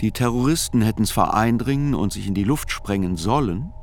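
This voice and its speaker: An actor playing a writer who narrates a trial in a radio play, in a measured, sober, observant way. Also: male voice, lowish voice, animated, slight background noise. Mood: sober, reflective.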